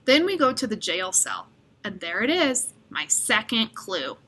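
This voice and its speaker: A woman reading a children's story aloud with expressive delivery. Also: medium pitch, a little slow. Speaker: storybook reader.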